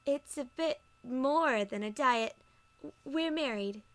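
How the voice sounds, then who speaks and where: A woman performs a bashful, polite female pony from a storybook. The voice is high and sweet, with courteous, gentle delivery suited to audiobook dialogue. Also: takes it slowly, no room sound. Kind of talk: audiobook character acting.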